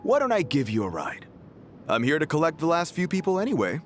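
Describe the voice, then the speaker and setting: A man playing an affable but wary desert guard with a sly streak in a video game, expressive and probing. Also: fairly high pitch, brisk. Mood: friendly, helpful. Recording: music under the voice.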